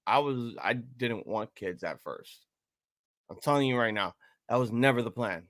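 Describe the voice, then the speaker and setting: A man in his thirties hosting a live-reaction stream, chatting casually and rambling with profanity and sudden loud excited outbursts. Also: mid-range voice, moderate pace, no echo, clean studio sound. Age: 30s. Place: home room at a table.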